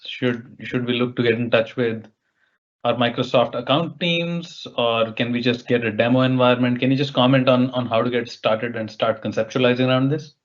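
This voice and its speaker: Polite male webinar moderator. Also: mid-range voice. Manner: appreciative, polite. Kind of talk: conversational moderating, asking questions. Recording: slightly dull online-meeting audio.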